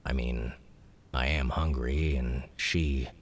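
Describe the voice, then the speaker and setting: A narrator doing a gruff, reluctant young man. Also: fairly even intonation, takes it slowly, full character voice. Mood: grumbling. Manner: low, grumbling, reluctant.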